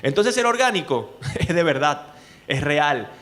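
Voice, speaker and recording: Bright-voiced Venezuelan man coaching students. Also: fairly high pitch, unhurried. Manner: animated, asks frequent rhetorical questions. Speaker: journalist and speaking coach, guest. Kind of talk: lively talk to students.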